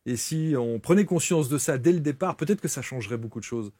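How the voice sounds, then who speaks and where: A man's voice: mid-range voice, lively intonation, fast, warm tone, husky, no room sound. Man hosting a talk show, dry sound.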